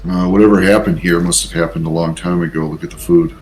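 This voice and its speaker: A man talking casually and haltingly as a player in a tabletop role-playing game. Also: low-pitched, steady tone, darkish tone.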